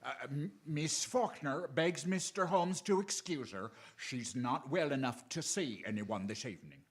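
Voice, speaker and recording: An actor playing a deferential police inspector posing as a butler in a radio drama, speaking hesitantly and eagerly. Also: male voice, mid-range voice, animated, unhurried, lightly acted. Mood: servile. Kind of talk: theatrical radio drama acting.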